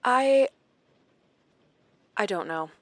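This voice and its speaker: A woman's voice: on the high side, expressive, extremely slow, no echo, full character voice. A woman playing an anxious but determined captive therapist in a horror audio drama, with an earnest manner. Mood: uneasy, careful.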